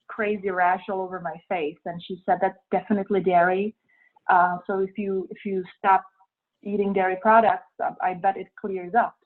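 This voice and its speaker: A young woman with a Czech accent talks through interview answers in a hesitant, thoughtful way, filling pauses with ums and uhs. Her voice sits at an ordinary pitch for a woman. The sound is thin and tinny, like it came through a phone. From Czech Republic.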